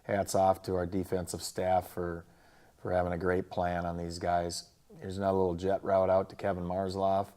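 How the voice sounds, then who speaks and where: A man with an American accent, a football coach talking casually through a game recap in a plainspoken, halting way. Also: low-pitched, monotone, dark tone. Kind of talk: casual sports recap and highlight commentary.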